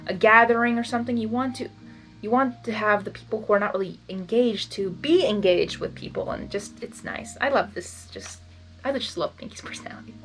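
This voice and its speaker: A woman's voice: fairly high pitch, brisk, soft background music, poor audio. A woman doing a blind reading of a fan story, stumbling on words and adding gushing asides. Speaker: reader.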